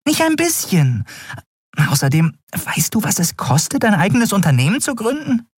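Man voicing a high-pitched, cheeky little winged woman. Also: huge pitch swings, normal speed, husky, dry recording, studio quality, full character voice. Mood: reproachful. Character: small winged female companion, sceptical, cheeky, easily indignant.

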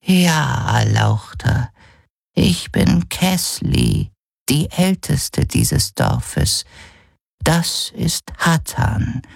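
An ancient alien village matriarch, acted out by a man for a fantasy audiobook. The voice is heavily creaky and husky, with very slow phrases that swoop up and down. The manner is humble and deeply reverent. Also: wildly swooping pitch, dry recording, clean studio sound, heavily acted. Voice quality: creaky, raspy old voice. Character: humble, reverent old four-armed alien village elder woman. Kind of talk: audiobook character acting.

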